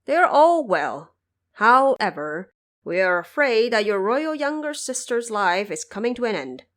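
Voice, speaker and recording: A woman's voice: on the high side, very animated, a little slow. A woman voicing the solemn, courteous male ghost kings of the underworld in a formal, declamatory storybook style. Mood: reassuring, grave.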